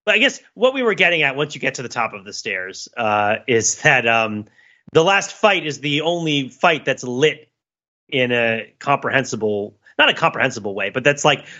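A man's voice: medium pitch, animated, moderate pace, sharp tone, lo-fi sound. A man co-hosting a podcast, talking through a film in a casual, rambling, analytical way. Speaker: podcast co-host.

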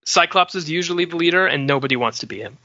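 Very bright, sharp-voiced man chatting on podcast. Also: on the high side, lively intonation, quick pace, no room sound. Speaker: podcast panelist. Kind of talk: casual pop-culture discussion. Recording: dry but dull lo-fi audio.

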